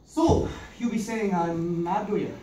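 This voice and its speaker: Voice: mid-range voice, measured pace, mellow, voice pushed up, lightly acted. A performer voicing a playful, teasing young Irish man in a put-on Irish accent, in an amateur play reading. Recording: distant roomy recording with background noise.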